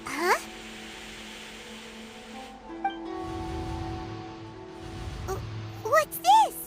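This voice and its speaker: A little floating fairy girl from a video game. The voice is piercingly high and squeaky, bouncing around with curious exclamations. Loud music plays behind. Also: wildly swooping pitch, takes it slowly, exaggerated voice. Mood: puzzled. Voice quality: squeaky, childlike. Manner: wide-eyed, exclamatory, refers to self in third person. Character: tiny childlike floating fairy girl companion, chirpy, curious.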